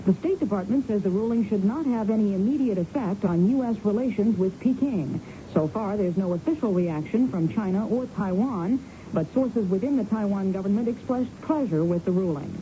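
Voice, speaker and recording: A woman's voice: mid-range voice, lively intonation, moderate pace, dark tone. An American woman reading scripted radio news as a reporter. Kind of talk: scripted newsreading. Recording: dull radio broadcast, faint hiss.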